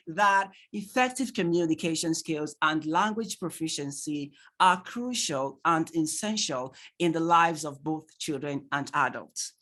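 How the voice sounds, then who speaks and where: A man's voice: high voice, sharp tone. A man with a Nigerian accent giving an online training lecture to parents, asking rhetorical questions and laughing often. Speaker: tutor.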